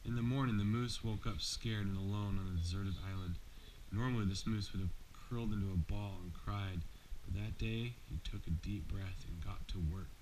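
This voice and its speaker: A man's voice: on the low side, steady tone, normal speed, darkish tone. A man reading a bedtime storybook aloud to his child, as a father does. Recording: noisy amateur home recording.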